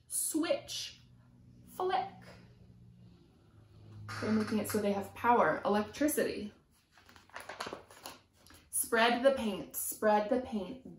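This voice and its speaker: Woman doing storytime for little kids, takes it real slow, sing-song and emphatic, bit of room echo. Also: a little high, a little hiss or hum. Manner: rhythmic, sing-song, emphatic on sound words. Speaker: preschool teacher reading storytime. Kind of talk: expressive read-aloud for young children. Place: small room at home, indoors. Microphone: camera a few feet away. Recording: roomy echo from camera distance.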